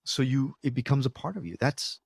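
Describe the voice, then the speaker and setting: A man from Connecticut hosts a podcast interview, enthusiastic and effusive, reacting with emphatic wonder to what he hears. His voice is at a middle pitch for a man. The recording is dry and close. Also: animated, a little fast, gravelly, breathy edge, no room sound. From Connecticut, USA. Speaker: podcast host.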